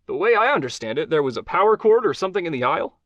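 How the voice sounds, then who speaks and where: A man voicing a charming, stylish male talk-show host who jokes and flatters his guest. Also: a little high, rapid-fire, no echo, highs cut off, pitched above the performer's own voice. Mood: jovial. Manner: jovial, chummy, playful.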